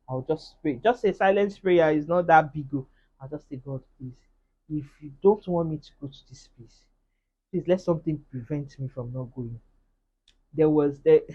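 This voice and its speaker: A woman's voice: sits low, breathy edge, dull audio. A woman with a Nigerian accent, earnestly sharing a personal faith testimony in a casual vlog and speaking straight to her viewers. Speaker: vlogger.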